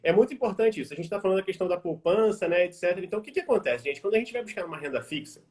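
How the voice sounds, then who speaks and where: A young Brazilian man in his twenties, an investment advisor explaining things casually on a live stream, informal and quick with many fillers. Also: high voice, animated, a little mellow. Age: 20s. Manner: quick, informal, addresses audience as "gente", many fillers like "vamos dizer". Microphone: video call.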